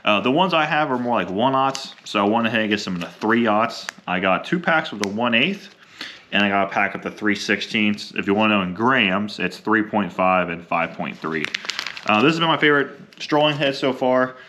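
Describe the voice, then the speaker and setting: A young adult man with a slightly hoarse voice from a cold, chatting casually and rambling with many ums while unboxing fishing gear. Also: lowish voice, animated, normal speed, sharp tone, slight background noise. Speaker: fishing vlogger.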